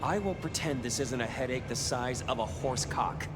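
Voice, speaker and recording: A man's voice: medium pitch, moderate pace, loud music behind the voice, natural voice. A man voicing a flamboyant, foul-mouthed, sardonic vampire gossip broker for a video game, with theatrical, teasing delivery. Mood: sardonic exasperation. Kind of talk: video game character acting.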